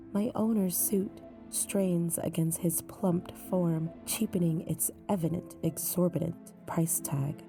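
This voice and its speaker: A woman giving measured, brooding first-person narration in an audio drama. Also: low-pitched, mellow. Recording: music bed behind.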